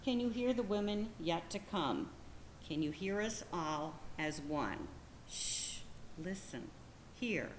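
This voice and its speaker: Hushed woman reading a meditative prayer. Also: lowish voice, lively intonation, takes it slowly, a little hiss or hum. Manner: hushed, repetitive questioning with soft shushes. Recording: roomy hall echo.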